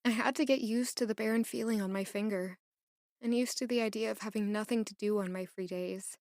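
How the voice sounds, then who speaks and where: A woman's voice: medium pitch, fairly even intonation, fairly quick, darkish tone, dry recording, studio quality, lightly acted. A young woman acting an earnest, hesitant palace maid who is lovestruck and unsure in a fantasy audio drama. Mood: wistful, introspective.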